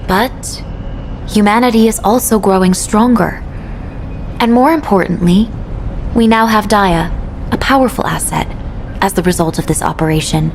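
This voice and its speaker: A woman as a measured headquarters operator. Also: medium pitch, a little hiss or hum, natural voice, low-quality recording. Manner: measured, official briefing tone. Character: headquarters operator briefing the player. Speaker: mission briefer. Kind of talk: scripted game dialogue.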